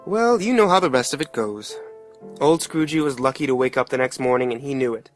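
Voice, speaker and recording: A man's voice: medium pitch, lively intonation, fairly quick, faint music behind, lightly acted. A man playing a plain-spoken working-class father who narrates a dramatic tale in an earnest, colloquial way. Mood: reflective.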